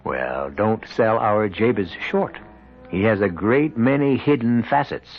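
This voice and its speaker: An actor as a sly, teasing storyteller host, narrating a radio drama theatrically. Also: male voice, fairly low pitch, lively intonation, unhurried. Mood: wry, suspenseful. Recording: dull 1970s radio recording with music.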